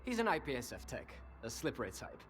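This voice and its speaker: A man's voice: medium pitch, sing-song, fast talker, fairly bright tone, soft background music. An actor playing a cynical, worldly male informant in a video game, talkative and sardonic, with an insinuating conversational manner. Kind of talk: video game dialogue acting.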